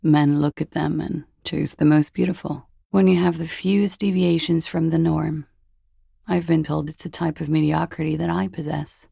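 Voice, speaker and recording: Game role: evasive young ex-pageant queen, heavy vocal fry, languid sarcasm, very close dry recording. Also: low-pitched, steady tone, moderate pace, dark tone, no room sound, exaggerated voice. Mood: dry, bored. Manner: languid, dry, sardonic understatement. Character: cool, evasive young former beauty queen and party girl. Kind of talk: video game dialogue, low-key and naturalistic.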